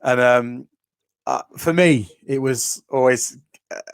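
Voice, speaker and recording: Man, 30s, podcast host, mid pitch, dry close sound, laughs easily. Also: takes it slowly, gravelly, clear, resonant tone, no room sound. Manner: laughs easily, restarts, acts out quotes. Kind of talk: casual storytelling chat.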